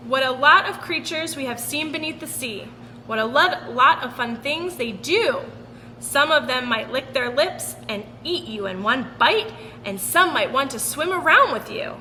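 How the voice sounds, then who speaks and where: A woman reads a children's story aloud in a high, bright voice. Her delivery is expressive and sing-song, and it carries a long echoing reverb. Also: unhurried. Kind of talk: expressive picture-book read-aloud for children. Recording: roomy long reverb with background noise.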